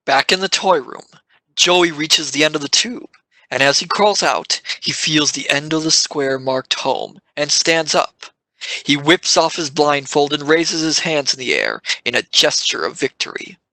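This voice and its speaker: Bright-voiced male audio drama narrator. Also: on the high side, lively intonation, unhurried, dry recording, poor audio.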